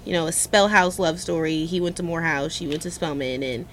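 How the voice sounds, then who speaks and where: A young woman from Cleveland co-hosting a talk show, chatting casually with lively, swooping pitch and frank, profane language. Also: fairly quick, slight background noise. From Cleveland, Ohio. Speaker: co-host. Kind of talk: casual chat.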